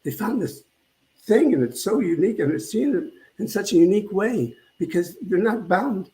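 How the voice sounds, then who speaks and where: An older man speaks in a soft-edged, mellow voice that sits a little high for a man. He gives an informal spiritual talk, pausing and repeating words as he reflects. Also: lively intonation, rough recording. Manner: halting, reflective, repeats phrases. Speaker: minister.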